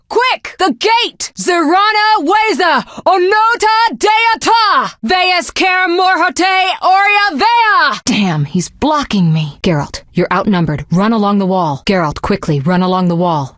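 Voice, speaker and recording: A woman playing a composed, caring and resolute sorceress in a fantasy video game, with a measured, articulate and earnest delivery. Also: mid-range voice, a bit bright, no echo, clean studio sound. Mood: urgent, incanting, then tense. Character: composed young adult sorceress, caring, sharp-witted, resolute.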